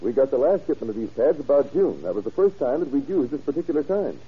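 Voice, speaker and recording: A plain, helpful townsman in an old radio crime play. His voice is heavily husky and moves freely up and down. The recording is dull and aged. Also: medium pitch, sing-song, fast talker. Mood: polite, helpful. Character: helpful, matter-of-fact male townsman, deputy or bank cashier. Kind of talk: old-time radio crime drama acting. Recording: muffled vintage radio drama recording.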